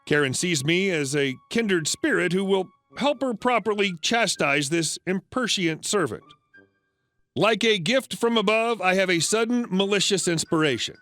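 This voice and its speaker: High-voiced man reading stories aloud. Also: animated, moderate pace, fairly bright tone, no echo, soft background music. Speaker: narrator of reddit stories. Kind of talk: scripted narration.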